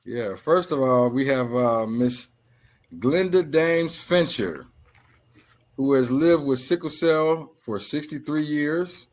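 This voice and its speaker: A male co-host at an average pitch talks in an easygoing way with playful banter. He introduces guests in a relaxed, chatty tone. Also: slow. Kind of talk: casual chat.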